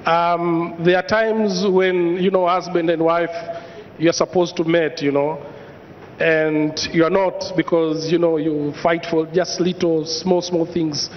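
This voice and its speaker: A man from Tanzania gives a personal testimony, hesitating often and filling gaps with ums. His voice is a little high for a man. The recording is dull, with long reverb from a large hall. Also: level tone, slow, a little hiss or hum. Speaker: church congregant. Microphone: handheld mic. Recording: dull echoey hall sound.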